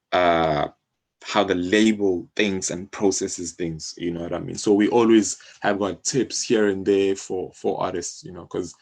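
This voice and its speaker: A man with a South African accent speaks in a low register. He explains things in a casual, conversational way, like a guest in an interview, often checking that the listener follows. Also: gravelly, darkish tone. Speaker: music publishing company co-founder, interview guest.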